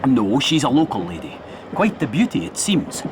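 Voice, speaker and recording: A deferential, gossipy local man, played by a male actor in an audio drama. His medium voice moves around in lively fashion over clear outdoor noise. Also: mid-range voice, normal speed, lightly acted. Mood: gossipy, careful. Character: deferential local male informant on a murder case. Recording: clearly noisy outdoor background.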